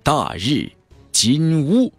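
A man narrating a fantasy audiobook in a theatrical, expressive storytelling manner. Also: on the high side, drawn-out words, no room sound. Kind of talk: dramatic scripted narration of a fantasy novel. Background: background music.

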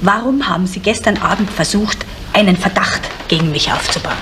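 A woman's voice: mid-range voice, a little fast, a bit bright, lightly acted. Indignant, guarded middle-aged woman, played by an actress. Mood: indignant, reproachful. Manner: formal, reproachful. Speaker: actress in a crime radio play. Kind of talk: 1970s German radio drama acting. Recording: faintly noisy 1970s radio recording.

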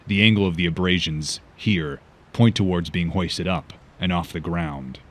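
Man voicing very deep brooding masked vigilante. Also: fairly bright tone. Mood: grim, analytical. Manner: solemn, measured, terse. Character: brooding masked male vigilante detective, grave and guarded. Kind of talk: comic book fan dub, dramatic dialogue and monologue.

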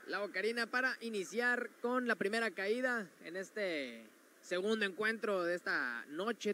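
A man's voice: high voice, sharp tone, slight background noise. A man calling wrestling moves play by play as they happen, in a gym arena. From Mexico. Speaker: wrestling commentator. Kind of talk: play-by-play commentary.

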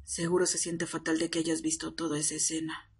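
Performer as young half-vampire man, breathy, flat intonation, miserable, confiding, lovesick, tearful ASMR roleplay. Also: mid-range voice, a little fast. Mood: sheepish, subdued. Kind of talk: intimate ASMR roleplay addressed to listener.

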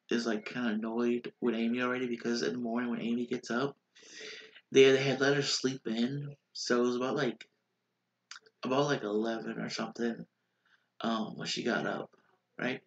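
A man's voice: mid-range voice, muffled recording. A man in his thirties with a stuffy, congested nose, chatting casually through a rambling recap in a small bedroom on a webcam, with lots of fillers and restarts. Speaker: vlogger recapping a reality show. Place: small bedroom with posters on the wall. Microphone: webcam at desk.

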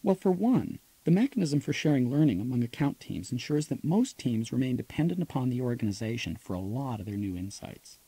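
Male audiobook narrator for business nonfiction: husky, relaxed tone, measured expository read. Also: medium pitch, no echo, low-quality recording. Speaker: author reading own audiobook.

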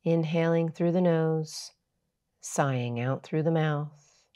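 A woman yoga teacher narrating a guided meditation in a soothing, measured, unhurried way. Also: low voice, dark tone.

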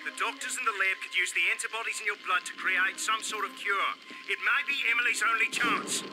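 A man's voice: high-pitched, fairly quick, reverberant, recorded from a distance, over music, close to an everyday voice, poor audio. An actor playing a stern military colonel in a video game, desperate to save his wife. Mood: pleading. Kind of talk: dramatic game cutscene acting.

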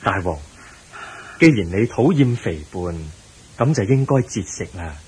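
A man with a Hong Kong Cantonese accent playing a kindly, wise Buddha in a radio drama, gentle, measured and instructive. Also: on the low side, expressive, poor audio. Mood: gently advising.

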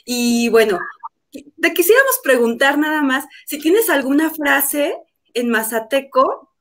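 High-voiced effusive Mexican woman host. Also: big pitch swings, measured pace. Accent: Mexican Spanish. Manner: warm, effusive. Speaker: radio host. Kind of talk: casual interview. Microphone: video call.

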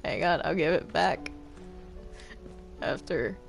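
A person chatting casually while roleplaying in a video game, with a halting delivery that often trails off. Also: medium pitch, creaky, faint music behind. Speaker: Minecraft roleplay player.

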